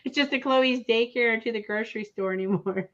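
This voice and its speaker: A woman's voice: a little high, a little fast. Chatty woman host, lo-fi. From South Texas. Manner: chatty, laughs often, says y'all. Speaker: livestream co-host. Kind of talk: casual livestream chat. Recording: lo-fi stream audio.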